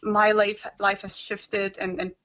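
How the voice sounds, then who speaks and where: A woman's voice: mid-range voice, level tone, slow pace, fairly bright tone. Young woman guest, upbringing, sincere and chatty, muffled lo-fi audio. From born in Romania, raised in Germany. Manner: earnest, many "um" and "like" fillers. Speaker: guest, personal development coach. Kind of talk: casual interview conversation.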